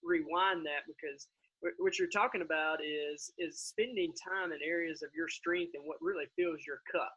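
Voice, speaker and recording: A man's voice: a little high, steady tone, moderate pace, bright, forceful tone, slightly rough, dull audio. A man hosting a conversational interview in an effusive, affirming manner. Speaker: interview host.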